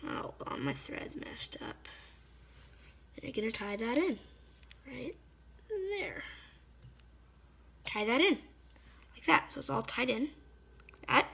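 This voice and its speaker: A slightly hoarse woman giving a casual hands-on fly-tying tutorial, chatty and often stopping to search for a word. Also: fairly high pitch, animated, slow, long pauses, fairly bright tone. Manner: chatty, stops to search for words.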